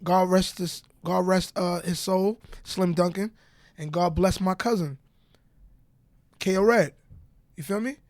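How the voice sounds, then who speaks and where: Stuttering Southern US man, high voice, dry studio podcast sound. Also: fairly even intonation, a little slow, pauses often, dry recording. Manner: stutters, repeats phrases like "bro" and "real shit". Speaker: guest rapper. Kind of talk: candid interview talk.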